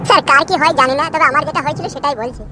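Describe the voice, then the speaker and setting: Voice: sits high, racing, lightly acted. Someone voicing a self-pitying jilted husband in a cartoon. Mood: aggrieved. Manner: long wailing complaints full of florid images. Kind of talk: cartoon dub acting.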